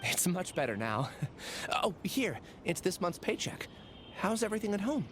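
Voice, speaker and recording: A man's voice: high voice, very animated, moderate pace, noisy background. An actor playing a troubled young male scholar in a video game, hesitant, polite and apologetic. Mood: evasive, reassuring. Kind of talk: dramatic game voice acting. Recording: clear background music.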